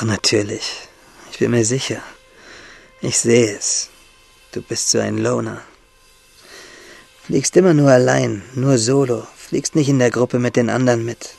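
An actor playing a dying young man in a radio drama, husky and gravelly, musing intimately in speech, bitter yet playful, asking and answering himself. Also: medium pitch, a little mellow, exaggerated voice. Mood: gently teasing.

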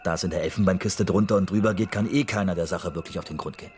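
An actor playing a shrewd, cynical investigator in a radio drama, speaking in a methodical, matter-of-fact way. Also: male voice, deep voice, racing, darkish tone, slight background noise, natural voice. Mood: methodical, official. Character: shrewd, cynical male investigator reciting a fabricated case report. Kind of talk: radio drama dialogue, reading out a report.

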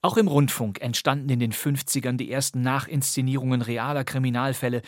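A man's voice: medium pitch, fairly even intonation, brisk, no echo. Articulate German man in a dry studio. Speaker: podcast host. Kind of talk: scripted podcast narration.

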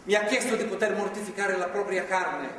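Preachy zealot captor, distant and echoing. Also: male voice, sits high, fairly bright tone. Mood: insistent, pleading. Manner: moralizing, authoritarian, deliberate. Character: stern, preachy male religious zealot holding a woman captive. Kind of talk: live stage drama acting. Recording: distant, noisy, echoey theatre hall recording.

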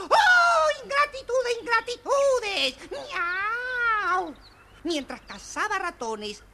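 A woman's voice: very high voice, pushed and bright, a little hiss or hum, exaggerated voice. A woman voicing a melodramatic, aggrieved old cat in a children's audio story, lamenting theatrically with meows.

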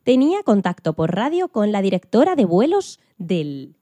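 A woman playing an excitable farm hen astronaut who tells her own story with playful dramatic emphasis in a children's radio play. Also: mid-range voice, moderate pace, no room sound, natural voice. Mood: excited, dramatic. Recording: music behind the voice.

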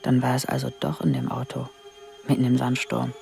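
An actress playing an earnest young German woman in a radio drama, talking in an urgent hush. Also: a bass voice, sing-song, brisk, gravelly, faint music behind, heavily acted. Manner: hushed, urgent.

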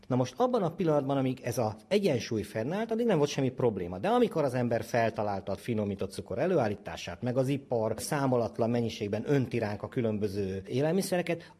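Husky man explaining science. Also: medium pitch, mellow. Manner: didactic, poses questions and answers them. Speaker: scientist, ethologist guest. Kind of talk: explanatory interview talk.

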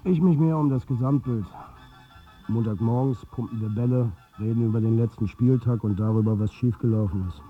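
A man's voice: medium pitch, a little fast, soft background music, lightly acted. Narrow phone-like wry football manager played by a man. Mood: keen, confiding. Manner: colloquial, wry, confiding. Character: wry, beleaguered, football-mad male club manager. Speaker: actor in a radio play. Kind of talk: radio drama narration and dialogue.

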